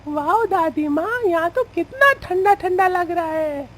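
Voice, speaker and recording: A woman's voice: extremely high pitch, lively intonation, a little slow, fairly bright tone, voice pushed up, exaggerated voice. A woman voicing an innocent, wishful village child with a pleading, childlike manner in a cartoon story. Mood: wide-eyed.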